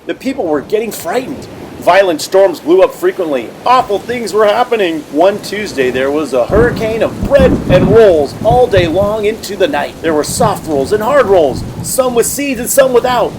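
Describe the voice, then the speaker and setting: A man's voice: high-pitched, expressive, normal speed, background noise. A man reading a children's picture book aloud like a teacher, expressively and with dramatic emphasis. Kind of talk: expressive storybook read-aloud narration.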